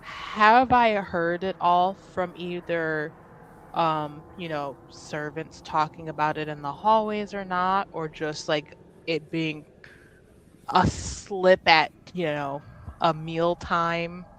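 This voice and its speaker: Unhurried woman over faint background noise. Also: fairly low pitch, rough recording. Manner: hesitant, thinking aloud. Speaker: tabletop roleplaying player. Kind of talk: casual improvised roleplay chat.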